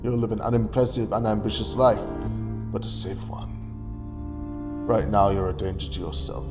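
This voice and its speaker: An actor playing a stern, scornful alien time-traveller who lectures in a measured way in an audio drama. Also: male voice, fairly low pitch, steady tone, over music, natural voice. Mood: grave, resigned. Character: cold, stern alien time-traveller, adult man, wearily righteous.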